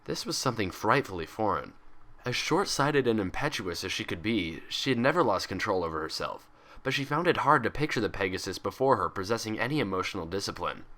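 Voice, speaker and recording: A man's voice: lowish voice, lively intonation, brisk, sharp tone. A man reading a story aloud as a scripted narration. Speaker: fan fiction reader and host.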